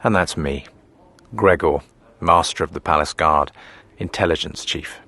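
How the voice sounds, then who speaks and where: A man's voice: extremely low pitch, a little slow, bright, forceful tone, full character voice. An actor playing a palace guard master who narrates his tale in a radio drama, dry, sardonic and confiding. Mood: wry, confiding.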